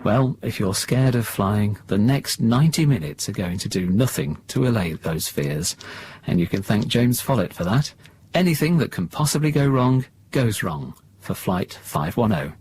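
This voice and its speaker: A man's voice: on the low side, lively intonation, normal speed, darkish tone, husky. A man giving a scripted continuity introduction to a radio drama as its presenter.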